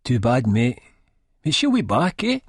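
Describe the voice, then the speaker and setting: A man's voice: medium pitch, huge pitch swings, unhurried, slightly rough, no room sound, studio quality, full character voice. An actor playing a friendly, sympathetic Edinburgh man chatting to a pal, with a Scottish accent, in an audiobook.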